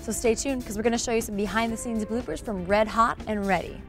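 A woman's voice: a little high, fairly even intonation, fast talker. A woman in her twenties co-hosting a cooking show, playful and teasing, talking outdoors in a garden. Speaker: co-host. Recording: faint music behind.

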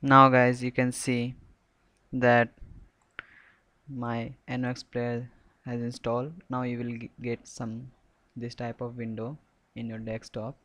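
Slow, flat-toned man giving a tutorial. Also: mid-range voice. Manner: halting, repetitive, instructional. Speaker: software tutorial presenter. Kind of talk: step-by-step screen tutorial, unscripted.

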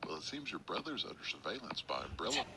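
An actor playing an aloof, cocky prisoner, a curt loner in a video game. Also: male voice, low voice, big pitch swings, quick pace, vocal fry, full character voice. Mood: cold, dismissive. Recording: very noisy game clip.

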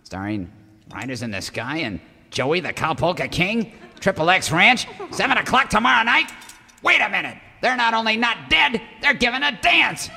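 A sly, cackling western outlaw. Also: male voice, high-pitched, lively intonation, normal speed, gravelly, bright, forceful tone, big-room sound, a little hiss or hum, heavily acted. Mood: suspicious. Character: scheming, cackling male outlaw villain, bad-tempered. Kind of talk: parody of old western radio serial.

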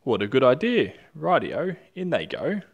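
A man's voice: medium pitch, wildly swooping pitch, normal speed, vocal fry, lo-fi sound, voice pushed up, full character voice. A man voicing a cocky, gleeful, clever dingo in a storybook, boastful and given to sing-song chanting.

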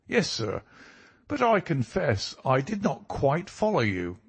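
A man's voice: on the high side, huge pitch swings, takes it slowly, vocal fry, breathy edge, pitched above the performer's own voice, heavily acted. A man voicing an eager, impressed police inspector in an audiobook, exclamatory and respectful. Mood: deferential. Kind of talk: audiobook character dialogue.

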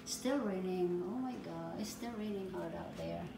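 A Filipino-accented woman talks casually from behind her phone camera and coaxes her son with question after question. She slips between languages. Heavy rain pours loudly around her, and the space sounds roomy. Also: lowish voice, moderate pace, vocal fry, background noise. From Philippines. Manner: chatty, coaxing questions, mixing languages. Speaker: mother filming her son. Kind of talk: casual home vlog narration. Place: porch in heavy rain, then home kitchen. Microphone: phone camera held by the speaker.

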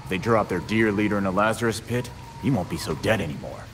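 Male actor as tough sardonic vigilante, very low pitch, gruff, dry, game cutscene, rainy background. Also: expressive, quick pace, noisy background, close to an everyday voice. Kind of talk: cinematic game dialogue. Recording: clear rain and outdoor ambience.

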